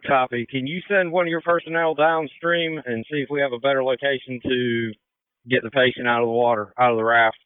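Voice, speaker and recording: A man's voice: medium pitch, fairly even intonation, fairly quick, clean tone, no room sound. An American man giving status reports as an emergency officer over two-way radio. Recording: tinny, dry two-way radio.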